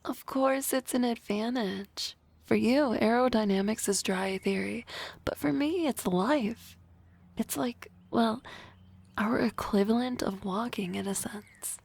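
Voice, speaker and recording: A woman voicing an energetic young female harpy classmate in an ASMR roleplay, talking softly and often in a whisper with a teasing manner. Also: on the high side, slow pace, creaky, breathy edge, heavily acted. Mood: playful.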